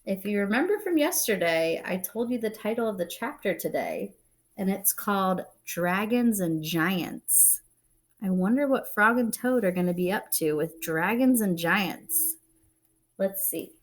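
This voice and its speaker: A woman reading a children's picture book aloud, expressively, pausing to explain and put questions to young listeners. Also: medium pitch, animated. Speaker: read-aloud storyteller and teacher-like reader.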